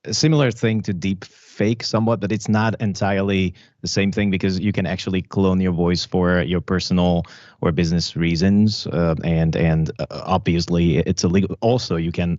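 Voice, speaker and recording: A man speaking as a panelist at a round table, halting and using many fillers. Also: deep voice, darkish tone, no echo, lo-fi sound.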